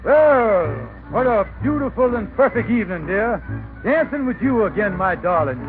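An actor playing a pompous, scheming husband with florid, folksy speech in old radio comedy style. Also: male voice, sits high, animated, moderate pace, music in the background. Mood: syrupy romantic. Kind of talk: 1940s-50s radio comedy acting. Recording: tinny, noisy old-time radio recording.

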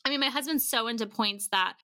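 A woman guest on a podcast, telling stories in a casual, animated way and acting out bits of dialogue. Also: a little high, quick pace, no echo, clean studio sound. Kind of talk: casual conversational storytelling.

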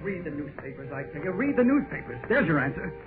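An actor playing a worried, insistent husband in old radio-drama style. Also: male voice, a little high, sing-song, fast. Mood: bitter. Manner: agitated, insistent. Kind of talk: 1940s radio acting. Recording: tinny old radio recording with music.

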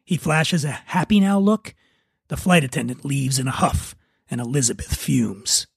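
A man's voice: medium pitch, lively intonation, normal speed, vocal fry, breathy edge, no echo, studio quality. A man hosting a podcast, talking casually and narrating a script. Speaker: podcast host and writer.

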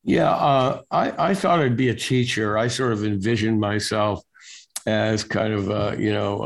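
An older man being interviewed as a guest, telling stories in a relaxed, wry way with lots of filler words. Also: on the low side, gravelly. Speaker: guest, national security reporter. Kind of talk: casual storytelling interview.

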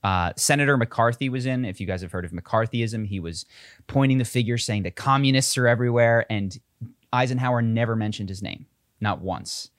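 A man co-hosting a podcast, telling a story in casual chat with an enthusiastic, quick way of talking. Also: mid-range voice, no room sound, clean studio sound. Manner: enthusiastic storytelling, quick asides, laughs easily. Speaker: podcast co-host.

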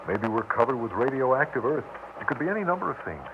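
An actor playing an anxious but steady husband and father in a 1960s radio drama, speaking in a measured, reasoning, grave manner. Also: medium pitch. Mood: subdued, grave. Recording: muffled, noisy 1960s radio recording.

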